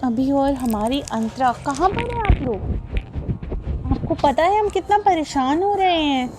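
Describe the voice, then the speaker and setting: A woman's voice: high-pitched, lively intonation, measured pace, noisy background. A woman voicing an anxious mother who pleads with her son in a worried, questioning way.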